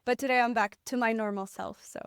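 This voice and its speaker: A young woman from Poland, a podcast guest, talking in a reflective, relaxed way with light laughs. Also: on the high side, fairly quick, clean tone, dry recording, clean studio sound. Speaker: guest, agency founder. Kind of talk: podcast interview.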